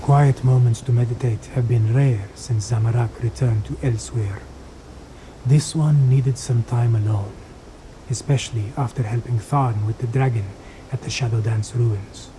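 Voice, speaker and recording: An actor playing a solemn, devoted cat-folk warrior monk in a video game, speaking formally and earnestly in measured phrases. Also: male voice, medium pitch, steady tone, noisy background, natural voice. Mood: grave, reflective. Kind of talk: video game character dialogue.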